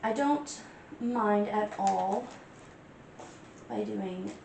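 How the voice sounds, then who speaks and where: A woman with a cold chats slowly through her crafting vlog, stuffy and sniffling. Her voice has a gravelly, fried edge. She keeps stopping on ums and starting her sentences again, with a faint room hum underneath. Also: medium pitch, darkish tone, roomy sound. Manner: unhurried, many ums and restarts. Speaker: crafting channel host. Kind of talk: casual show-and-tell vlog.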